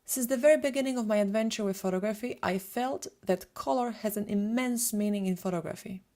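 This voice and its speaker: A woman in her thirties with a Polish accent, a photographer presenting a prepared webinar in a steady, read-from-notes way. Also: mid-range voice, a little fast. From Poland.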